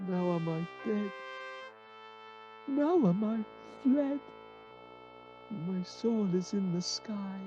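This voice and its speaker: Hammy, slow-dying stage lover, swooping wildly. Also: female voice, mid-range voice, animated. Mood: melodramatic dying. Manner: bombastic, overblown declaiming. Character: hammy amateur tragedian playing dying lover Pyramus. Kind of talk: Shakespeare radio play. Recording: dull recording with brass music.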